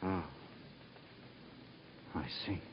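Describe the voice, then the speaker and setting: An actor playing a polite, mild civilian scholar with a tentative manner, in a muffled 1950s radio drama. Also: male voice, extremely slow, slight background noise. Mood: shaken, tentative.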